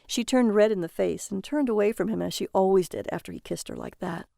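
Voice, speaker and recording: A woman's voice: medium pitch, a little fast, creaky, mellow, dry recording, clean studio sound. A woman reading a scripted short story as the narrator of audio fiction.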